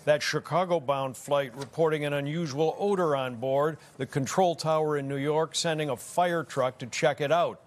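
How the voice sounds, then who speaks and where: American TV newsman, slightly high-pitched voice. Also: normal speed, few pauses. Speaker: investigative TV reporter. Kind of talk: scripted live news report.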